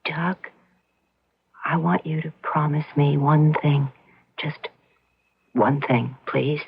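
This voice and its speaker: Weak dying wife in old radio play, low creaky breathy voice, pleading, muffled. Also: female voice, fairly even intonation, slow pace, full character voice. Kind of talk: old-time radio drama acting. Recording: dull lo-fi radio drama recording.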